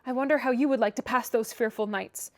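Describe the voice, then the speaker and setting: A woman's voice: fairly high pitch, steady tone, brisk, a little mellow, no room sound, natural voice. A sickly, spiteful teenage boy, as a woman reader puts him on, whining and petulant in a raised, strained voice. He sulks and taunts by turns, always sorry for himself. The sound is close and dry. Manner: petulant, whimpering.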